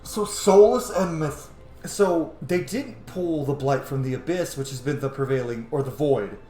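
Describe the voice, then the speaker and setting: A man's voice: a little high, measured pace, dark tone. A man hosting a gaming channel, giving informal, sweary let's play commentary. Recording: roomy echo, game music behind. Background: video game music.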